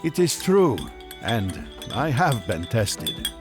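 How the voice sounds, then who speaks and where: A man's voice: mid-range voice, sing-song, music in the background. A man playing a devout, weary Magian pilgrim in an audio drama, speaking formally and earnestly. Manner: formal, solemn, measured.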